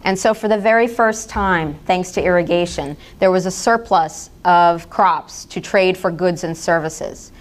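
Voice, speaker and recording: Middle-aged woman speaker at a public talk, direct and impassioned, a smooth, slightly low voice. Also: a little slow, hardly any pauses. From United States. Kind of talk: public lecture.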